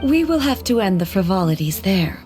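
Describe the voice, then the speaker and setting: A woman acts a dignified ruling goddess from a video game. She speaks formally and with stately resolve at a medium pitch, with soft music behind her. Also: sing-song, moderate pace, natural voice. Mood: solemn, resolute. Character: solemn, dignified female ruler deity, formal and resolute. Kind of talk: video game character acting.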